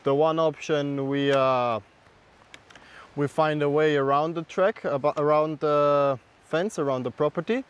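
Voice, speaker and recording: A man from the track crew thinks aloud and hesitates often. His voice is smooth and clear, and it sits a little high. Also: a little slow, highs cut off. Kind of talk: casual talk to camera.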